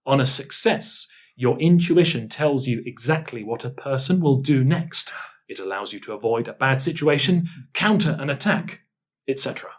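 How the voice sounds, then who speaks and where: A man hosts a relaxed podcast conversation with a middling pitch. He questions his guest informally and teases lightly as he goes, keeping the banter jokey. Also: moderate pace, breathy edge. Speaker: podcast host. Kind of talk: casual chat, interviewing a guest.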